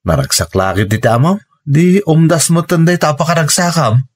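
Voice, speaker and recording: A man's voice: on the high side, big pitch swings, moderate pace. A man playing a concerned, supportive friend in an Ilocano radio drama, conversational and advising, with an Ilocano accent. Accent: Filipino, Ilocano. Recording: music bed under the voice. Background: music.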